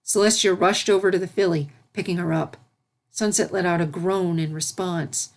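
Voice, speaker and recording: A woman's voice: fairly low pitch, steady tone, moderate pace. A woman reading a fantasy story aloud as its narrator, in scripted narration. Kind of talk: scripted narration of fan fiction.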